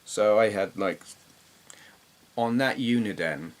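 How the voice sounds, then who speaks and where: A man's voice: fairly low pitch, slow pace. A middle-aged man with an English accent, reminiscing casually in an informal, rambling, self-deprecating way. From England. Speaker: host, gamer.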